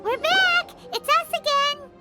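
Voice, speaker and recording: A woman voicing a tiny, excitable, cheeky childlike fairy girl in an anime game dub. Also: very high-pitched, slow pace, over loud music. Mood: grumbling, perky. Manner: refers to self by name, exclaiming.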